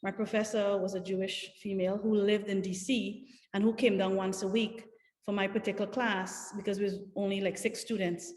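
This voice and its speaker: A woman's voice: medium pitch, little pitch movement, brisk, darkish tone. A woman from Guyana with a Guyanese accent, telling stories earnestly and emphatically as a panelist on a video call. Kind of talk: panel discussion answer over video call. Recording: echoey room with long reverb.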